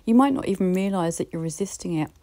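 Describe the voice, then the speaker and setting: A woman with a British accent giving a reflective solo podcast talk, gently encouraging and speaking straight to the listener. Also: on the low side, lively intonation, fast talker. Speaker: podcast host.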